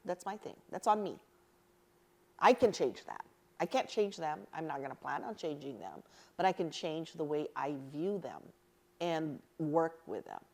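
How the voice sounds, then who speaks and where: A middle-aged woman from the Philippines, an interview guest telling personal stories in an earnest, animated way and laughing easily. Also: sits low, a little slow, vocal fry. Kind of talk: reflective personal storytelling.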